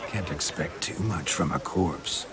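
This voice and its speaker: A man's voice: a bass voice, measured pace, music in the background. A man voicing the carefree, amorous ghost of a nobleman in a video game, with flowery romantic speech.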